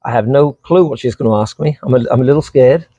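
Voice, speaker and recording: A man's voice: mid-range voice, fast, mellow, husky, no echo. A middle-aged man from England with a British accent tinged slightly with American, chatting casually and unscripted as he is interviewed. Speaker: vlogger being interviewed.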